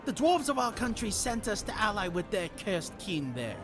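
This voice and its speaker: A man's voice: high-pitched, sing-song, moderate pace, pitched above the performer's own voice. A man voicing a hostile, spiteful elf duelist in a fantasy storybook, with a contemptuous, accusing manner. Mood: bitter, accusing. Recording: narration over loud background music.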